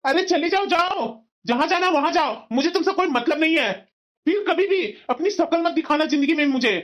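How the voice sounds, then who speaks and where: A woman's voice: very high voice, fairly quick. A woman voicing an exasperated, aggrieved young husband in a family cartoon, scolding, in a dull lo-fi recording. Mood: angry, fed up. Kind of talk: cartoon voice-over in family comedy drama.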